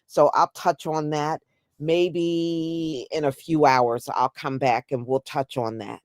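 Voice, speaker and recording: A woman's voice: low voice, steady tone, takes it slowly, a little mellow, no room sound. Casual American woman giving emphatic opinions, dry sound. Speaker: live stream commentator and host.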